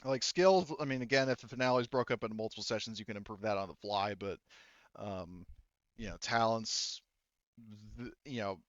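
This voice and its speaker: A man's voice: fairly low pitch, normal speed, no echo, highs cut off. A man running a tabletop game as game master, talking casually to his players in an informal, sweary, rambling way.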